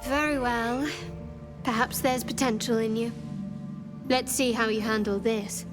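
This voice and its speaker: A woman acts out a secretive young cleric of a dark goddess in a video game cutscene. Her voice sits fairly high, and she speaks with measured reserve and dry wit. Music plays clearly behind her. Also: fairly even intonation, close to an everyday voice. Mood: guarded, wry. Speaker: video game companion character.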